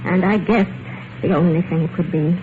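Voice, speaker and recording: An actress playing an eerily calm, wounded and quietly vengeful wife in an old radio thriller, measured and wistful. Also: female voice, lowish voice, close to an everyday voice. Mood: wounded, reproachful. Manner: measured, wistful, dramatic pauses. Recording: muffled, very noisy old radio recording.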